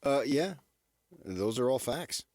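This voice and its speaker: A man hosting sports talk radio, casually musing in halting, repeated phrases for emphasis. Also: mid-range voice, lively intonation, darkish tone, no echo.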